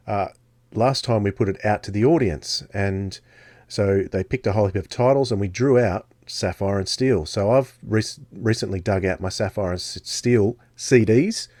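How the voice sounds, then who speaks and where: Low-voiced man, dry close sound. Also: a little mellow, no room sound. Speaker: podcast co-host. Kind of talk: casual chat.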